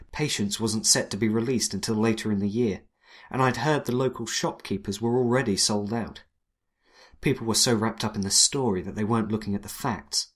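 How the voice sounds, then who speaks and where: A man playing a wry, hardboiled 1920s private detective, narrating in a dry, world-weary deadpan. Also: lowish voice, breathy edge. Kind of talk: first-person noir detective narration and dialogue.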